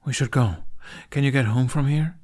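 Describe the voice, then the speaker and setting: A man's voice: medium pitch, moderate pace, a little mellow, voice pushed up, natural voice. A man voicing a kind, protective and playful young male adventurer in an audiobook. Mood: concerned. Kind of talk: fan fiction audiobook character voice.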